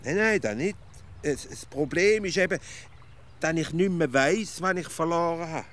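An actor playing a stubborn, flustered provincial simpleton in a comic radio play, stammering and halting with a Swiss accent. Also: male voice, fairly high pitch, fairly bright tone, natural voice. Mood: puzzled. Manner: stammering, halting, indignantly self-justifying.

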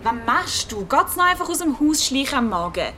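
A woman's voice: high-pitched, sing-song, normal speed, bright, forceful tone, a little hiss or hum, exaggerated voice. A woman playing an exasperated, cool teenage older sibling in a children's radio play, with a Swiss German accent and slangy, scolding talk. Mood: annoyed.

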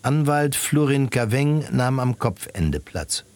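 A man's voice: mid-range voice, very animated, takes it slowly, darkish tone, slight background noise. A man narrating a scripted story in literary prose for a radio drama.

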